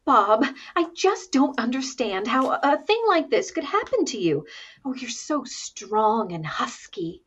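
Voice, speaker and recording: A woman's voice: a little high, very animated, a little slow, a bit bright, full character voice. A woman playing a sweet, sympathetic female friend with a gushing, cooing manner, in 1940s radio comedy style. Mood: sympathetic, fussing. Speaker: actress in a radio comedy recreation. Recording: dull, lo-fi sound.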